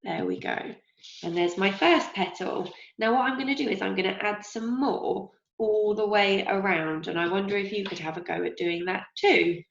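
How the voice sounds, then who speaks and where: A young woman teacher with a slightly croaky voice, gently leading a craft lesson for young children and asking them questions as she goes. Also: mid-range voice. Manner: slow, encouraging, asks children questions. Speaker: primary school teacher. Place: home kitchen, indoors. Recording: roomy, dull lo-fi sound.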